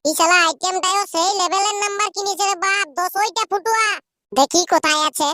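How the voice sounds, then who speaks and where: A performer voicing a brash, slangy young village lad in a comedy cartoon, with a Purulia accent and a squeaky pitched-up voice. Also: brisk, slight background noise. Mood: grumbling, scheming. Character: rowdy young village lads squabbling and teasing, cartoon. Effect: pitched-up voice filter. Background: loud music.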